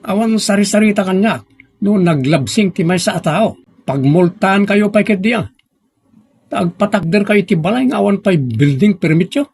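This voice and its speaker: An actor playing an arrogant, stern municipal engineer in a radio drama, curt and domineering. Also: male voice, high voice, lively intonation, moderate pace, a little mellow, breathy edge, heavily acted. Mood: domineering, angry. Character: arrogant, stern male municipal engineer abusing his authority.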